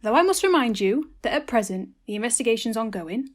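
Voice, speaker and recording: A woman playing a showy, self-satisfied police inspector, measured and in charge, in a comedy audio drama. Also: a little high, very animated. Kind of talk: hobby comedy murder-mystery audio drama.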